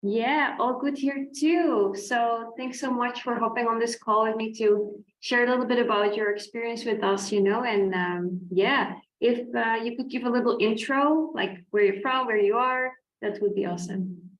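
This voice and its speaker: A woman's voice: a little high, moderate pace, hardly any pauses. A woman hosting a casual interview over a video call, upbeat and peppered with "you know". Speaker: host, coach. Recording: roomy, dull video call audio.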